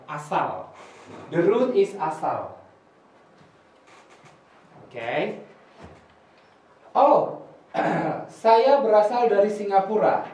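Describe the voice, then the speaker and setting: Man, young, high voice, patient teacher, distant echoey recording. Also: darkish tone. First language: Indonesian. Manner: slow, patient explaining, repeats words for students. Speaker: language teacher. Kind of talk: classroom language lesson. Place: small room with projector screen. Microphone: far camera. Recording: echoey room recorded from afar.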